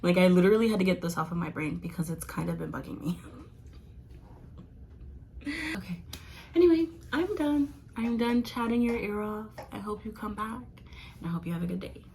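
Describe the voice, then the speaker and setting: A woman's voice: mid-range voice, sing-song, fairly quick, pauses often, dark tone, slight background noise. Giggly rambling young woman. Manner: chatty, giggly, rambling with many fillers. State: losing her voice. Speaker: vlogger reviewing comics. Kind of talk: casual rambling review.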